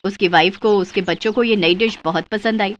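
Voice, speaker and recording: A woman's voice: mid-range voice, fairly quick, lo-fi sound. A woman delivering scripted moral-story narration as the narrator.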